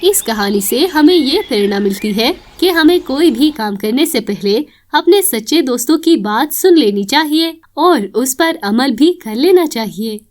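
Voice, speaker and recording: A woman's voice: high-pitched, expressive, a little slow, hardly any pauses. A woman reading scripted narration for a children's story.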